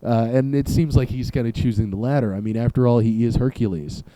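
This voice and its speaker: Young guy doing a solo podcast rant, sarcastic and sweary, deep mellow voice. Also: brisk, no echo, rough recording. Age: young adult. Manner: sarcastic, rambling, profane riffing. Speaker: podcast host. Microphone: camera and podcast mic.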